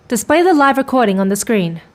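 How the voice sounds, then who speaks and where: A woman's voice: a little high, lively intonation, a little slow, a little hiss or hum. An actress playing a guarded, grieving mother on a space mission in a sci-fi audio drama, polite and hesitant in her delivery. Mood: businesslike.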